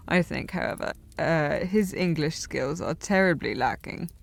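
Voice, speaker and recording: Slow-talking tipsy young noblewoman, played by an actress. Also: lowish voice, vocal fry, full character voice. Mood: imperious, tipsy. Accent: affected, sliding period accent. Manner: slightly slurred drunk delivery, playfully imperious, formal wording. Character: tipsy, haughty, teasing young noblewoman of a bygone era. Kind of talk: intimate audio roleplay, period drama.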